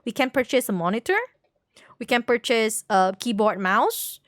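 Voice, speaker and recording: A woman with a fairly high voice chats casually on a livestream. She rambles, doubles back on her words and hunts for the right term, all in a dry recording with almost no room sound. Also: moderate pace, no echo. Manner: rambling, repeats words, searches for terms. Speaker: game livestreamer. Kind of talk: casual livestream chat with viewers.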